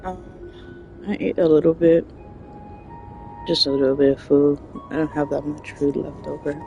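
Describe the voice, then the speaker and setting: A man's voice: fairly high pitch, little pitch movement, normal speed, vocal fry, lo-fi sound, faint music behind. A man chatting casually as a game streamer, rambling and hesitant. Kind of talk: casual livestream chat.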